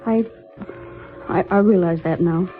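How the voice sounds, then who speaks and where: A woman's voice: lowish voice, unhurried, lightly acted. An actress playing a remorseful, humbled woman in a 1950s radio drama. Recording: tinny vintage radio recording, faint music.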